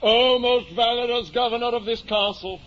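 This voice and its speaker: An actor playing a delusional, courtly gentleman knight-errant in pompous, florid old-time radio style. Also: very high-pitched, steady tone, normal speed. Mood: pleading, ardent. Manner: pompous, florid, theatrical declamation. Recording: muffled, noisy 1950 radio recording.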